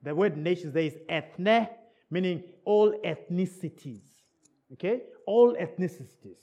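A man's voice: high voice, lively intonation, a little slow, mellow. A man preaching a sermon in a church service in England, English not his first language, repeating key words and checking in with the listeners.

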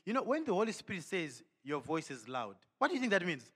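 A man preaching a sermon with lively energy, laughing and firing questions at the congregation. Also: high-pitched, fast talker, breathy edge.

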